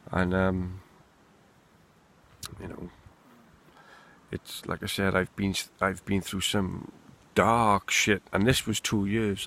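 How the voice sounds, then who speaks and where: Slow-talking welsh guy, gravelly voice, frank unscripted monologue. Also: lowish voice, a bit bright. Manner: rambling, candid, swearing freely. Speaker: vlogger. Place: outdoors in the wind.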